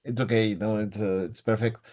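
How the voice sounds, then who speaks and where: A man's voice: on the low side, level tone, fairly quick, a little mellow, a little hiss or hum. A Spanish-speaking man interviewing for a music magazine in English, hesitant, with many fillers.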